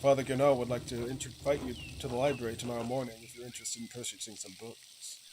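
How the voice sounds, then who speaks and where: A man's voice: medium pitch, little pitch movement, quick pace, lightly acted. Stern, resentful monk read by a man. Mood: formal, restrained. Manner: pointed, formal. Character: resentful, stern male abbey monk, formally polite. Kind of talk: reading video game dialogue. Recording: clear sizzling ambient noise behind voice.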